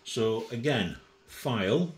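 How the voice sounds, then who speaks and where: A man giving an informal software demonstration as a tutorial presenter, methodical and thinking aloud, recorded indoors at a desk. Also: mid-range voice, expressive, slow pace, echoey room.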